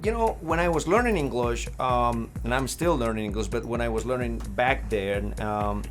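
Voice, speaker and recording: Animated Colombian host, quick questions. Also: male voice, mid-range voice, music in the background. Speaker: show host. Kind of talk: casual interview chat.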